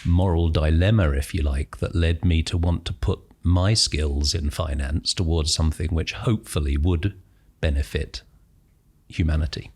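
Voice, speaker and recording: A middle-aged man from Northern Ireland with a British accent, a podcast guest who speaks thoughtfully with frequent pauses and restarts. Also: extremely low pitch, steady tone, darkish tone, husky. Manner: thoughtful, hesitant, many ums and restarts. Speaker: guest, impact investor. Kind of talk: podcast interview.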